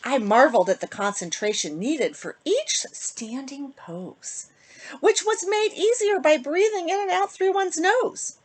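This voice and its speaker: A woman reading a rhyming picture book aloud to children in an expressive, sing-song manner, with phrases that often end softly. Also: high-pitched, a little slow. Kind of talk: storybook read-aloud for children.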